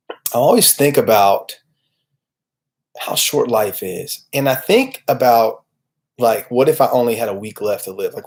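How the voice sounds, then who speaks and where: A man's voice: on the low side, a little mellow. A man in his late thirties giving an informal, reflective motivational monologue, talking earnestly and searching for his words as he goes. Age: late 30s. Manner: halting, searching, conversational, earnest. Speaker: motivational video host.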